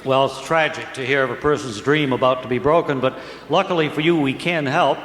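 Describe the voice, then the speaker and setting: A man hosting and announcing in an old-time radio comedy style, deadpan and formally polite. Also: medium pitch, brisk. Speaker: radio show host and announcer. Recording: echoey, noisy old radio recording.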